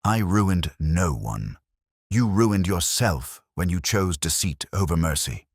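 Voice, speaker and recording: Man voicing resolute, shaken woman, low-pitched. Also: lively intonation, slow pace, slightly rough, no room sound, clean studio sound, heavily acted. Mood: firm, accusing. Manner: trembling but firm.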